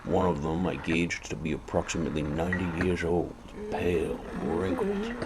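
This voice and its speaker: A man playing a world-weary private detective, speaking in a brooding, deadpan, sardonic noir style. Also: deep voice, normal speed, over a noisy crowd. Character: gloomy, sardonic hard-boiled male private detective. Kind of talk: hard-boiled noir detective narration and dialogue.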